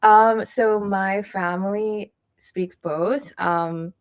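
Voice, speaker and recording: A woman's voice: medium pitch, steady tone, very slow. A woman guest in a casual podcast chat, easygoing and laid-back, often giggling.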